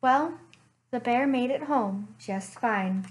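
A young woman, a librarian, reading a picture book aloud slowly and expressively at home into a webcam. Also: a little high. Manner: slow, expressive storytime reading. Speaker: children's librarian. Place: living room at home.

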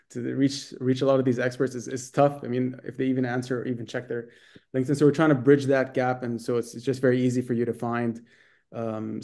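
A man in his thirties who grew up in Saudi Arabia, with a Dubai international accent from American schools, answering interview questions over a video call with quick talk and many fillers. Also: mid-range voice, warm tone. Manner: quick, fluent, with frequent fillers and restarts. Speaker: guest, startup accelerator executive. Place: bright room indoors. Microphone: video call webcam.

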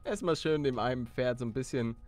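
A man's voice: mid-range voice, brisk, a little mellow. A man giving casual gameplay commentary with some role-play, often hesitating and repeating words as he coaxes along. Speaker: gamer playing a Minecraft story series. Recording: music under the voice. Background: music.

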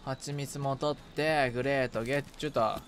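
A man's voice: mid-range voice, steady tone, normal speed, slight background noise. A man chatting casually while gaming, muttering short item checks to himself and exclaiming in a casual way. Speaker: game streamer, co-op partner.

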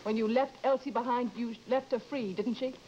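A high-voiced, anxious wife in a vintage radio horror play, acted by a woman. She is earnest and insistent, pleading in dramatic, broken phrases. The recording is muffled and slightly noisy. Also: fairly even intonation, a little fast, dark tone, natural voice. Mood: urgent, reassuring. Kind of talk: old-time radio horror acting. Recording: dull, noisy old radio broadcast recording.

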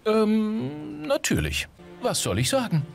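An actor voicing a naive, earnest android in a video game, with formal, precise and guileless phrasing. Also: male voice, high voice, huge pitch swings, slow pace, vocal fry, heavily acted. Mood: sheepish, polite. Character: earnest, naive male android learning humanity. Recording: background music. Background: game music.

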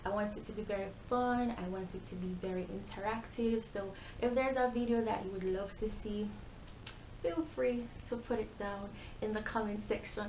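Young woman, Jamaican accent, medium pitch, chatty and animated vlog delivery, echoey bare room, faint background noise. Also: moderate pace. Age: young adult. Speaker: YouTube vlogger. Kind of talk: casual chat to camera. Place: small bare-walled room indoors.

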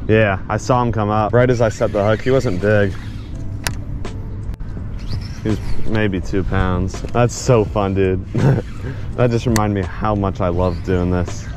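A young man giving a casual, excited running commentary while fishing from a kayak, laughing often and calling his viewers dude. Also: fairly low pitch, normal speed, noisy background. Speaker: fishing vlogger. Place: outdoors on a kayak on a lake.

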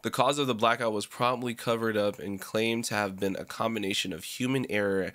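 A young American man doing a casual reaction, reading text aloud haltingly with slangy asides. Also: fairly low pitch, steady tone, a bit bright, dry recording. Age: young adult. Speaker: reaction video creator. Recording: prominent background guitar music. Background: prominent instrumental music with guitar.

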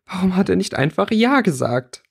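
A man's voice: high-pitched, big pitch swings, moderate pace, vocal fry, husky, voice pushed up, heavily acted. A man voicing an upset, tearful and indignant young schoolgirl in a plaintive storybook reading.

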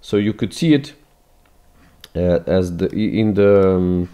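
A man whose first language is Portuguese talks through a photo edit at an unhurried pace. His voice sits fairly low and sounds mellow and dark. He often stops and restarts his phrases, recorded at a desk as voice-over for a screen capture. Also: lively intonation, clean tone. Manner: hesitant, many restarts, non-native phrasing. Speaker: photography tutor. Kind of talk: screen-recorded software tutorial.

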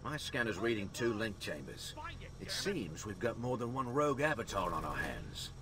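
A man's voice: fairly low pitch, big pitch swings, moderate pace. A man playing a wry, wary military guide giving briefings in a video game. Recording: clear battlefield noise behind.

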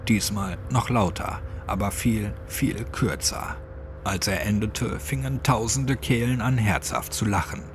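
A man's voice: on the low side, a little slow, background noise. A man narrating a scripted horror story as an audiobook. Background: music.

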